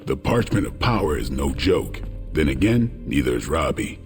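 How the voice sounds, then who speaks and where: Deep vampire hunter, stern and blunt, swings in pitch, loud music under it. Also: male voice, sing-song, moderate pace, big-room sound, heavily acted. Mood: grave, wary. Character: stern, brooding male vampire-hunter superhero, blunt and wary. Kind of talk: video game cutscene acting.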